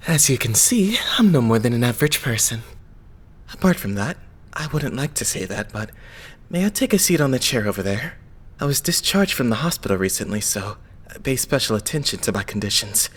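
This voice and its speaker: A man voicing a gentle, modest, devout young male idol in an anime fandub, courteous and self-effacing. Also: mid-range voice, sing-song, a little fast, slightly rough. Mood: polite, modest. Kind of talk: anime-style fandub voice acting.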